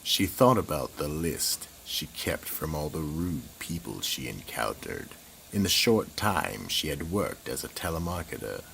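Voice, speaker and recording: A man hosting and narrating a horror radio drama in a slow, ominous, dramatic way. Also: a bass voice, very animated, gravelly, fairly bright tone, slight background noise, rough recording. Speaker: horror anthology host and narrator.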